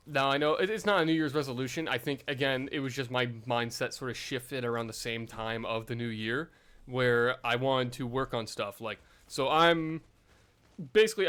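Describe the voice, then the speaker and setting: A man's voice: medium pitch, a bit bright, clean tone. A young man vlogging in a casual, rambling way, full of fillers and self-deprecating asides.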